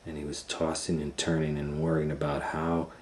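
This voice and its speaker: A man reading a children's storybook aloud as host, theatrical and folksy, with drawn-out phrasing and asides. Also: extremely low pitch, monotone, darkish tone. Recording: roomy sound with clear background noise.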